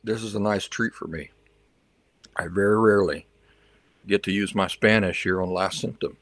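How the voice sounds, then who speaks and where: A man's voice: lowish voice, steady tone, normal speed, fairly bright tone, no room sound. A man hosting a livestream, chatting casually with viewers and reacting to their comments, sometimes switching into learner's Spanish. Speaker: livestream host.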